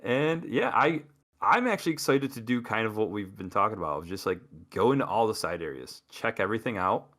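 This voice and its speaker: A man's voice: lowish voice, lively intonation, a little fast, fairly bright tone. A man co-hosting a gaming podcast, talking in a casual, rambling way with many restarts. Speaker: podcast co-host.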